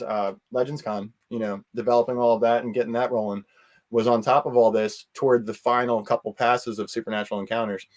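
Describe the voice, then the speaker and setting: A man talking casually as an interview guest, telling things in a rambling, animated way with quoted asides. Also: medium pitch.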